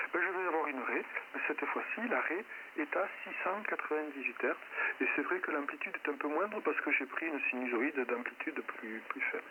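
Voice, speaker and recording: A man giving an improvised technical lecture as an amateur radio operator, explaining didactically in a halting, self-correcting way over a shortwave radio link. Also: a little high. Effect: shortwave single-sideband radio transmission. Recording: narrow-band, very noisy shortwave radio link. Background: loud radio static noise.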